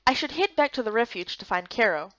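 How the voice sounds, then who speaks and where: Female game-story narrator. Expressive, lively intonation, close and dry, slightly dull recording. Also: fairly high pitch, quick pace, no echo. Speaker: video game narrator and voice-over.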